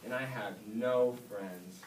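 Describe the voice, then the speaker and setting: A man's voice: on the low side, slow. A man voicing Oscar, a small, eager young male snail who boasts and frets, heard from far off in an echoey room. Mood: sad. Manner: excitable, boastful, then tearful. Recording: echoey room, mic far off, faint hiss.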